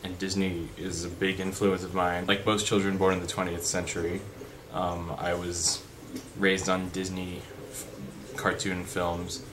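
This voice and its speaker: A young man with a deep voice chatting unscripted in a vlog, rambling with fillers and restarts. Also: monotone, a little slow, hardly any pauses. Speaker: vlogger. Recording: background noise.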